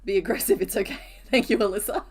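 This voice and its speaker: A woman with a rough, hoarse voice chatting casually with viewers during a live crochet stream, answering comments as she works. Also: mid-range voice, lively intonation, a little fast.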